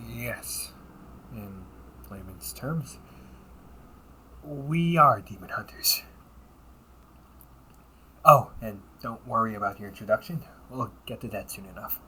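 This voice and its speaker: A man playing a wry, politely smug demon-hunting officer in an audio roleplay, speaking in a courteous, measured, teasing way with breathy asides. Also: mid-range voice, sharp tone, slight background noise, rough recording. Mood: polite, amused. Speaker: amateur voice actor. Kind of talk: audio roleplay, one-sided dialogue addressed to the listener.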